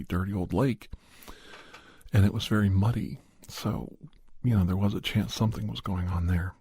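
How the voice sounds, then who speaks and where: A man narrating a first-person horror story in an ASMR style, softly and in halting, unhurried short phrases with casual asides. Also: on the low side, gravelly, slightly rough, dry recording. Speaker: podcast host and storyteller.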